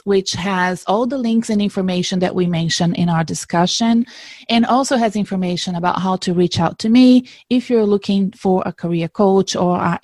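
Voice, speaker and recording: A woman's voice: medium pitch, a little mellow, no echo. Upbeat middle-aged woman, career podcast host, close dry mic, mid-range voice. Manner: upbeat, enthusiastic. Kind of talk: live podcast introduction. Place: live event in Melbourne.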